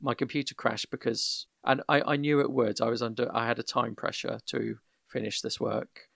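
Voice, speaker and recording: A man hosting a casual podcast, giving measured, careful feedback with frequent restarts over an internet call. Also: mid-range voice, fairly even intonation, vocal fry, a bit bright, dry recording, studio quality. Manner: measured, many restarts, gives constructive feedback. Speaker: podcast host. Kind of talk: casual conversational critique. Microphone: voip call.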